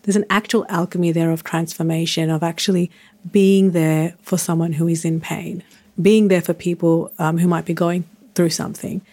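Female interview host, lowish pitch, conversational delivery. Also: flat delivery, moderate pace, a little mellow.

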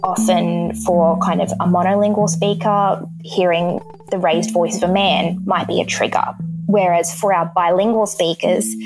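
A woman's voice: fairly low pitch, steady tone, moderate pace, music in the background, low-quality recording. A woman counsellor speaking in an interview, explaining in a conversational way. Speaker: counsellor and speech pathologist.

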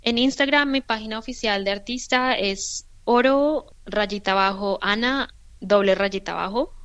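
A woman's voice: mid-range voice, moderate pace, fairly bright tone, clear, resonant tone, dry recording, lo-fi sound. A woman from Colombia, a musician and artisan answering interview questions thoughtfully, often repeating words as she searches for them.

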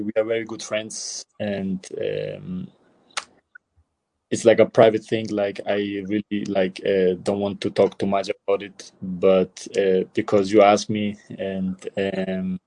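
A young man with an Albanian accent answering interview questions, halting often with fillers and restarts. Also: fairly low pitch, warm tone, poor audio. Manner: hesitant, many fillers and restarts. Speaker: footballer guest.

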